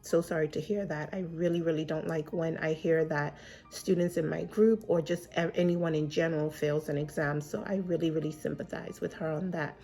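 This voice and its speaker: A woman with a fairly low voice that sounds a little stuffed up from a cold, talking casually but earnestly to her viewers. She gives encouraging study advice, glancing at her notes as she speaks. Faint music sits under her voice. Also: steady tone, brisk, warm tone. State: slightly congested from a cold. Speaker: exam prep vlogger and mentor. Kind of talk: casual advice vlog to camera. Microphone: camera facing her, laptop beside.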